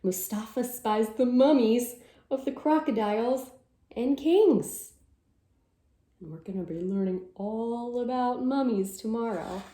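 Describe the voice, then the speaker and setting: A woman's voice: fairly high pitch, measured pace, dark tone, roomy sound. A woman teacher reading a picture book aloud to children, with sing-song verses and simple explanations.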